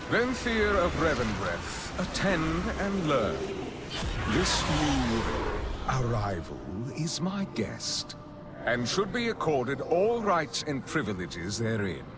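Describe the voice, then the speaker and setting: Slow, swooping, pompous vampire lord. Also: male voice, medium pitch, huge pitch swings. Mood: commanding. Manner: pompous, silky, measured, theatrical. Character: grandiose, manipulative aristocratic vampire master of a gothic realm. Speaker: ruler addressing subjects. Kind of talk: theatrical video game villain acting. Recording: dramatic orchestral music behind.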